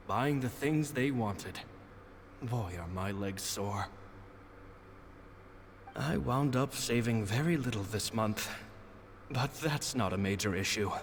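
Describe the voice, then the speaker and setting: A man voicing a kindly, humble stage magician in a reflective video game monologue, with a husky voice. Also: medium pitch, a little hiss or hum. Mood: wry. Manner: modest, reflective. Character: kindly humble male stage magician reading his diary. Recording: long reverb, music behind.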